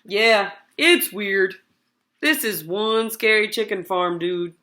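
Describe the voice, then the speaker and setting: A woman's voice: medium pitch, slow, pushed and bright. A woman voicing a laid-back, friendly hacker spider in a children's storybook, with casual, easygoing slang.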